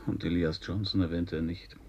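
A man's voice: deep voice, level tone, a little fast, darkish tone, a little hiss or hum, close to an everyday voice. A man acting a proud, stubborn dreamer in a German radio play, sardonic and questioning. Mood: wounded pride. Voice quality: very husky, breathy rasp. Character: stubborn, wounded, proud male dreamer named Elias.

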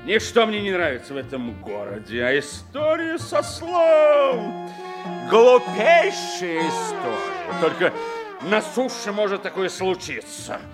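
An actor playing a drunken, forgetful, boastful sea captain in an audio play, with a slurred theatrical drawl. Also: male voice, high-pitched, animated, slow pace, music in the background. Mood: boozy bravado. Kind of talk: theatrical audio play acting with narrated monologue.

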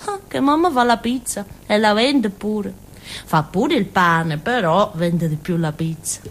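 An actress playing a naive, childlike village simpleton girl with a Calabrian accent, in a halting, repetitive theatre monologue. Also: medium pitch, animated, slight background noise. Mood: wistful. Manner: simple, repetitive, halting, with questioning tags and giggles. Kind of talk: theatrical monologue in colloquial dialect-tinged Italian.

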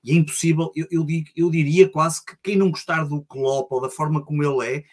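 A man's voice: fairly high pitch, steady tone, brisk. A man with a European Portuguese accent co-hosting a podcast, chatting casually and wordily, often repeating and rephrasing himself. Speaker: podcast co-host. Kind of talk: casual conversational commentary.

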